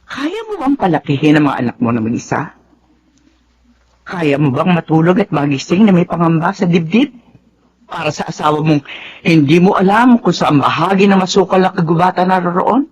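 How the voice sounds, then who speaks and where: A woman's voice: on the low side, expressive, normal speed, a little mellow, breathy edge, muffled recording. An actress playing a stern, protective widowed mother in a Filipino radio drama, firm and admonishing. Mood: grave, pleading.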